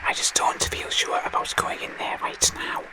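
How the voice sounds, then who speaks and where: A man playing a wary infiltrator in an audio drama, speaking in a hesitant, secretive, breathy whisper. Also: normal speed, lightly acted. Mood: uneasy.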